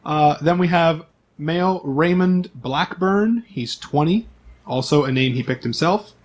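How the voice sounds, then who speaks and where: A man's voice: a little high, a little slow. A man acting as game master, reading out character profiles in an informal, list-like way with asides.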